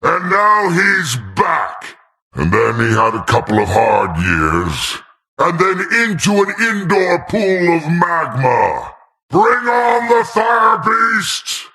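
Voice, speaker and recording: A man's voice: sits high, big pitch swings, takes it slowly, sharp tone, heavily acted. A man voicing a manic, gleeful, taunting fiery dungeon master in a video game, with theatrical emphasis. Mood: gleeful menace. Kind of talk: video game character voice lines.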